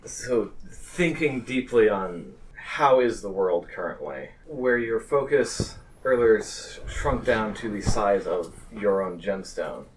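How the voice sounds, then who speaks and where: A man running a tabletop roleplaying game as game master, improvising narration in a deliberate, halting way. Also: lowish voice, animated, far from the mic, a little hiss or hum, poor audio.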